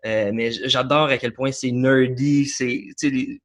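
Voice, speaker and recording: A man's voice: medium pitch, steady tone, moderate pace, a bit bright. Rambling young Québécois man. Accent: Québécois French. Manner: rambling, many fillers and restarts. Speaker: podcast guest. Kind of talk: casual chat about films.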